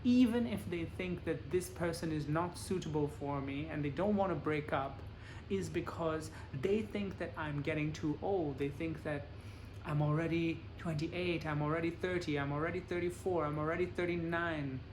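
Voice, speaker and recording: A man lecturing in a measured, explaining way, pausing for an interpreter. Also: a little high, a little hiss or hum, poor audio. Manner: plain, measured explaining with examples.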